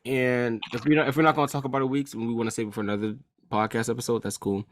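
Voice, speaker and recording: A man's voice: medium pitch, little pitch movement, rapid-fire, no room sound. A young man co-hosting a casual podcast, joking and swearing freely. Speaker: podcast co-host.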